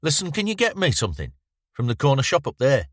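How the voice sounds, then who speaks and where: Menacing teen thug, as a male narrator puts him on, curt, coaxing or hostile, raised pitch, dry sound. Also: huge pitch swings, fast talker, no echo. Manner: curt, aggressive, swearing. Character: menacing sixteen-year-old male thug.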